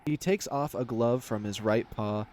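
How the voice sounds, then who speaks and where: A man's voice: medium pitch, unhurried, darkish tone, a little hiss or hum. A man narrating a visual novel aloud as a let's player, switching between story reading and casual commentary. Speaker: video game let's player.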